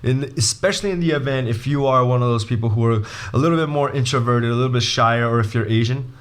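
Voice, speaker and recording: Energetic male coach from brazil, casual jokey lesson, stumbles and restarts a lot. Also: mid-range voice, fast, clean tone. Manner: animated, restarts sentences, jokey asides. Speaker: MBA admissions coach.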